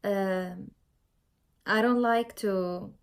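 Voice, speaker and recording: A woman's voice: medium pitch, fairly even intonation, drawn-out words, vocal fry. Young female beauty vlogger, Syrian Arabic accent, mid-range voice, informal tutorial chat with emphatic repetition. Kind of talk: casual makeup tutorial chat.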